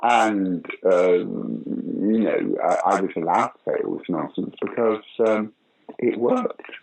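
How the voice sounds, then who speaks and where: An older man with a lowish voice spins theatre anecdotes in an animated, rambling way, his voice leaping up in sudden loud bursts. A faint hiss sits under his voice. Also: takes it slowly, vocal fry, low-quality recording. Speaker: guest actor and comedian. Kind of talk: conversational interview storytelling.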